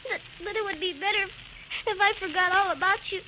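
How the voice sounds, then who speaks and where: A woman's voice: extremely high pitch, brisk. An actress playing a sensitive, headstrong young girl in an old-time radio melodrama. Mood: tearful. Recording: old radio recording with faint noise.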